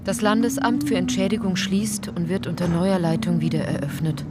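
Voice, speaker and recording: An actress playing a sober chronicler, narrating deliberately in a scripted radio drama. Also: female voice, fairly low pitch, natural voice. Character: sober female chronicler narrating a political scandal.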